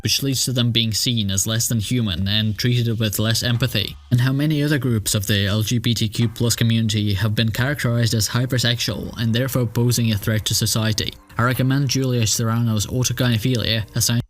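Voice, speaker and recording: A man giving an argumentative video essay in a steady, measured way. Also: medium pitch. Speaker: video creator being responded to.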